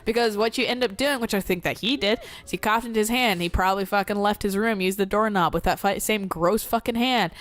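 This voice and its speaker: A woman co-hosting a casual gameplay chat, stuffy from a cold, talking in fast, laughing, swearing rants. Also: mid-range voice. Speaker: gameplay co-host.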